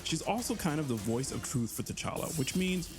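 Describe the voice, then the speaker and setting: A man's voice: on the high side, very animated, moderate pace, music in the background. A man presenting a game in a promotional showcase as one of its developers. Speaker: game developer on the narrative team.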